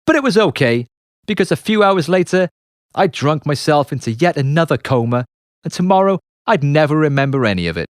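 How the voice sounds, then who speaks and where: Guy reading his audiobook memoir, very animated intonation, confessional, dramatic pauses, dry close mic. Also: fairly high pitch, no room sound. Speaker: author and musician reading own audiobook. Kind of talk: scripted memoir narration. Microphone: close, dry studio narration mic.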